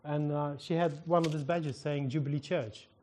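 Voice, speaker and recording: A minister with a Ukrainian accent shares a testimony from the pulpit. His voice sits a little high. He tells it like a lively story, acting out each exchange and often breaking into laughter. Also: moderate pace, slight background noise.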